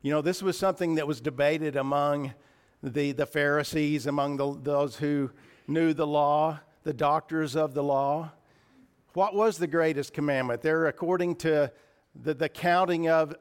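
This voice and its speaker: A man with a raspy voice preaching a sermon in church, earnest and a little emotional, often clearing his throat. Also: on the high side.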